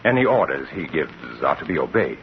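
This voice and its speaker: An actor playing a polite, matter-of-fact laboratory assistant in a vintage radio drama. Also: male voice, extremely low pitch, very animated, brisk, music in the background. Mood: polite, brisk. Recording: muffled, noisy old radio recording.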